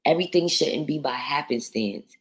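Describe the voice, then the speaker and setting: A woman from New York with a naturally deep voice hosts a casual livestream. She talks bluntly and swears freely, reading viewer comments aloud and answering them in an easy conversational flow. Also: normal speed. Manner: blunt, profane and outspoken. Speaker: livestream host.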